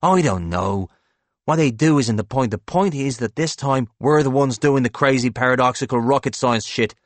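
A man voicing a brash, foul-mouthed, excitable male banker in an audiobook, talking emphatically and impatiently like an evangelist for his schemes. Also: mid-range voice, normal speed, no room sound, lightly acted. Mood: eager, impatient. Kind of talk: audiobook character dialogue.

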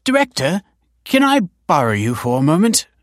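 A man voicing a brisk, matter-of-fact receptionist, pitched higher than the narration. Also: expressive, no echo, studio quality, lightly acted. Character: brisk front-desk receptionist.

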